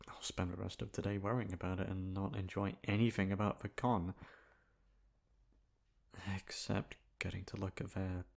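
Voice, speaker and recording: A man's voice: low-pitched, little pitch movement, vocal fry, darkish tone. A man acting in an ASMR roleplay as a flustered, dominant young best friend, hesitant at times and blunt at others. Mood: anxious, self-doubting. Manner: hesitant with pauses, turning bossy and blunt. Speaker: ASMR voice actor.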